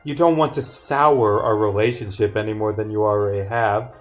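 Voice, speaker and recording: A domineering accuser from a visual novel, acted out by a man, scolding and theatrical. Every line is pitched as melodrama over faint game music. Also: fairly even intonation, moderate pace, natural voice. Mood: cold scolding. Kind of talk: reading visual novel dialogue aloud.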